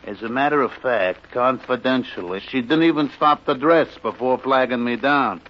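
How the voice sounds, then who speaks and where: An actor playing a blunt, slangy New York cab driver with an American accent in a fifties radio drama. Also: male voice, medium pitch, moderate pace, background noise, lightly acted. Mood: pushy, sly. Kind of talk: 1950s radio drama acting. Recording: dull vintage radio recording.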